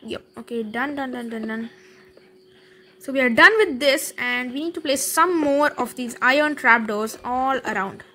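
A woman casually narrating a building tutorial over gameplay, chatty and thinking aloud, with fillers and quick laughs. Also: sits high, bright, forceful tone. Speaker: Minecraft building tutorial creator.

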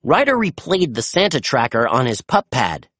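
A narrator reading a children's story aloud in an expressive storytelling manner. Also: mid-range voice, normal speed, slight background noise.

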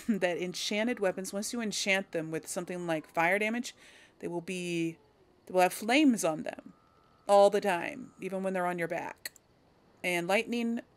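A woman casually commenting on a game she plays, musing and thinking aloud. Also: medium pitch. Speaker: gaming video host and player. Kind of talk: casual let's play commentary.

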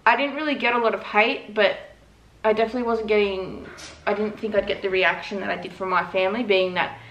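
A woman of twenty-one, chatting casually in a relaxed, rambling way while co-hosting a Q&A vlog from her living room couch. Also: mid-range voice, quick pace, highs cut off, a little hiss or hum. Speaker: vlogger co-host. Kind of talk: casual Q&A chat. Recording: echoey room.

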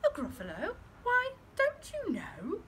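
A woman voicing a small, clever, cheeky mouse in a storybook reading, high and perky. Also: wildly swooping pitch, slow pace, heavily acted. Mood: perky, boastful. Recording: loud background noise.

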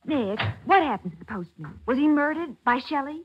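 An actress playing an eager, excitable young detective's assistant in a 1940s radio drama, full of questions. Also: female voice, sits high, normal speed. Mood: curious. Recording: noisy, muffled 1940s radio recording.

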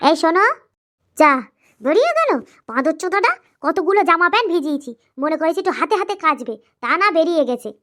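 A woman voicing an angry, sharp-tongued daughter-in-law in an amateur comedy cartoon, scolding and combative. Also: very high voice, very animated, exaggerated voice. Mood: defiant, angry. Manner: scolding, combative, rapid-fire retorts.

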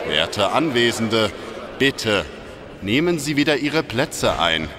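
A man's voice: mid-range voice, lively intonation, slow. An actor playing a stern, formal male chairman of a galactic senate, declaiming in a retro sci-fi audio drama. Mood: calling for order. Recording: noisy assembly hall ambience behind.

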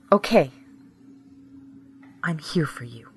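A woman playing a weary, caring but guarded ex in an intimate audio drama, soft and hesitant. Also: sits low, animated, drawn-out words, a little hiss or hum, exaggerated voice. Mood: sad, resigned.

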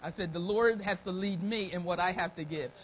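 A woman's voice: lowish voice, a little fast. A middle-aged American woman giving an informal, folksy, devout sermon as a church elder, her throat slightly scratchy and dry. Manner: folksy, devout, repeating phrases of thanks. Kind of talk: informal sermon with personal testimony and prayer. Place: church meeting room, at a pulpit. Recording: noisy live church stream recording.

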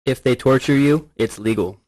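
A man's voice: medium pitch, moderate pace, darkish tone, lightly acted. A man acting a tough, cynical militant rebel in a radio drama, blunt and scornful.

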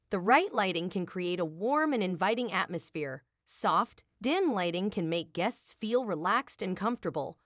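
A woman's voice: medium pitch, a bit bright, no room sound, studio quality. A woman giving a scripted promotional voice-over with a polished, upbeat delivery. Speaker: narrator.

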